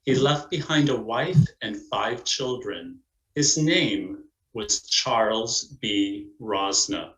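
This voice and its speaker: A man's voice: lowish voice, expressive, slow, noisy background. A man reading scripted narration as the narrator of a stage play. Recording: roomy echo.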